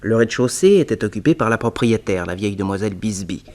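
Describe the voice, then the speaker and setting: An actor playing a detective's wry young assistant in a French radio serial, narrating and questioning with polished suspense. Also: male voice, lowish voice, expressive, fast, a little mellow. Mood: suspenseful narrating. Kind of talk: dramatic first-person narration and dialogue, radio serial. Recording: noisy old radio recording with hum.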